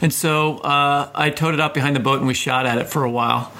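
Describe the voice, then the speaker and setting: A man's voice: fairly high pitch, fairly even intonation, a little fast, pushed and bright. A man speaks as a podcast host in casual discussion. His talk rambles along in loose, conversational turns, and he often looks for agreement from the others.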